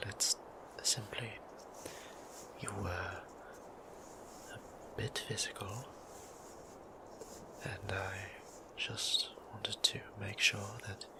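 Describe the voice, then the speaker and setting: Casting: male actor as courteous, bashful vampire priest for audio roleplay. Slow, even whisper, formal and tender, breathy. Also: noisy background. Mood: bashful concern. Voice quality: breathy whisper with creaky low tone. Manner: formal, old-fashioned, polite, measured phrasing.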